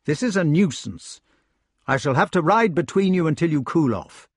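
A man's voice: fairly high pitch, animated, moderate pace, slightly rough, dry recording, clean studio sound, pitched above the performer's own voice. A righteous young knight, acted by a male narrator, speaking firmly with raised emphasis. He is a chivalrous storybook hero, stern, admonishing and a little exasperated. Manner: firm, admonishing. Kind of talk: audiobook character voice.

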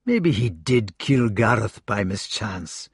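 A man's voice: fairly low pitch, very animated, takes it slowly, slightly rough, no echo, studio quality, heavily acted. A man voicing a wounded, tearful Scottish knight who speaks in strained, despairing tones. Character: wounded, weeping burly male Scottish knight.

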